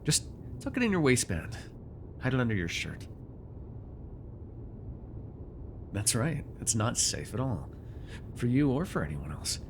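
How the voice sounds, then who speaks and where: A man playing a controlling, vengeful supervillain in an immersive ASMR roleplay, taunting and giving orders in a deliberate, mocking way. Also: mid-range voice, wildly swooping pitch, noisy background. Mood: cold, menacing. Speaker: voice actor.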